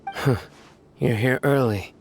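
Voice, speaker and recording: An actor playing a stern, authoritative general in a video game, speaking in curt, measured phrases. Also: male voice, mid-range voice, steady tone, faint music behind. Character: stern, commanding young male law-enforcement general.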